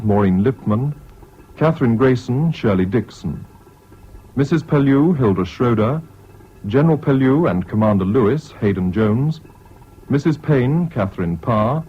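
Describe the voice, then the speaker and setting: Husky British announcer, very lo-fi. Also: male voice, medium pitch, darkish tone. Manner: measured, formal. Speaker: radio announcer. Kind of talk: formal reading of closing cast credits. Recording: muffled lo-fi old radio recording.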